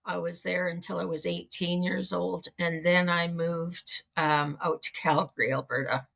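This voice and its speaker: A middle-aged woman with a Canadian accent, telling her own story casually as an interview guest, pausing to think. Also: lowish voice, flat delivery, vocal fry, fairly bright tone. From small-town Manitoba, Canada.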